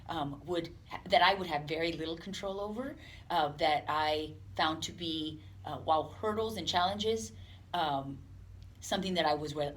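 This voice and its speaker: A woman giving unscripted interview answers as a police department representative, speaking earnestly and haltingly. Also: low voice. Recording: clear background room noise.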